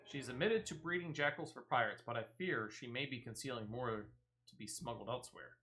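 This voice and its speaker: Man reading as a stern, bright-voiced guard officer. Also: mid-range voice, soft background music, close to an everyday voice. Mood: earnest, grave. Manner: formal, earnest, measured phrasing. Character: stern, dutiful Yellow Jackets guard officer. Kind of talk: video game dialogue read aloud.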